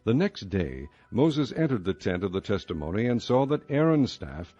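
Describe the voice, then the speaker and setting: A man narrating a dramatized Bible audiobook in a measured, solemn storytelling style. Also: fairly low pitch, very animated, dark tone, no echo, dull audio. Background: faint music.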